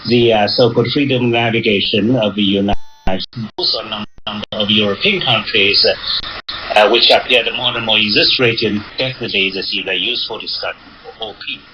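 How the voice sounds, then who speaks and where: Halting male analyst on noisy shortwave radio. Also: lowish voice. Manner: halting and hesitant, searching for words. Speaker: military analyst interviewed as a guest. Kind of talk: unscripted interview commentary. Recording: dull lo-fi shortwave radio, loud noise.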